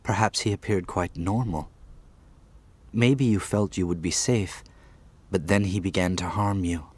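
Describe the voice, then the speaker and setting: A man playing a stately, wealthy lord in an audiobook drama, speaking calmly in formal, archaic phrases. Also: low-pitched, unhurried, slightly rough. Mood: calm, reassuring. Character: stately wealthy lord, calm, insightful, smooth-talking rescuer.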